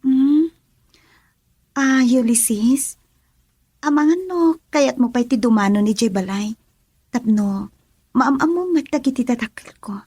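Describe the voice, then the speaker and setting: A woman's voice: sits high, slow, long pauses, darkish tone, breathy edge, no room sound, studio quality, full character voice. An actress playing a shy young woman being courted, bashful and hesitant, with an Ilocano Filipino accent in a radio drama.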